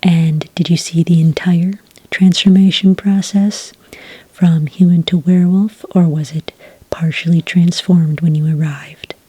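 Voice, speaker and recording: A woman speaking in a whispery, breathy soft voice, calm and methodical, as an agent in an ASMR roleplay, close to the microphone. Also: on the low side, no room sound. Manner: calm, methodical questioning with gentle pauses. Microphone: close microphone in a dry room.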